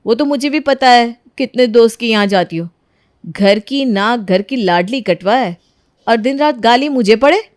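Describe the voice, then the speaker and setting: A scheming young daughter-in-law from a cartoon family drama, acted by a woman. Her voice sits high, and she argues in an accusing, self-righteous, melodramatic way. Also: expressive, normal speed, no room sound, clean studio sound, close to an everyday voice. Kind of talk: Hindi animated moral-story dramatization.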